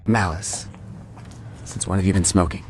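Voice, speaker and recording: A man's voice: low voice, steady tone, normal speed, darkish tone, slight background noise. An actor playing a sardonic, suspicious man confronting a woman he knows in a crime radio play. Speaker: voice actor in a crime audio drama.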